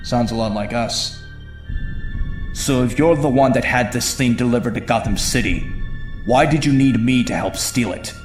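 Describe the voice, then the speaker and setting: A man's voice: mid-range voice, normal speed, natural voice. A man voicing a brooding young vigilante antihero in a comic-book cartoon, speaking in a dry, sardonic way. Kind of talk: comic-book cartoon acting with inner monologue. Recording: music behind the voice. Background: music.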